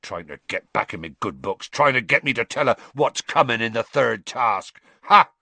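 Guy doing big gruff country gamekeeper, growly, loyal and quick to anger, audiobook, swings around a lot. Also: mid-range voice, animated, bright, forceful tone, no room sound, heavily acted. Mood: grim, distrustful. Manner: rustic dialect, blunt and indignant. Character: big, gruff, rustic gamekeeper man, hot-tempered and loyal.

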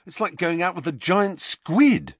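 A man's voice: high-pitched, sing-song, measured pace, dry recording, pitched above the performer's own voice, heavily acted. A man doing a gloomy teenage boy. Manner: gloomy, mumbling.

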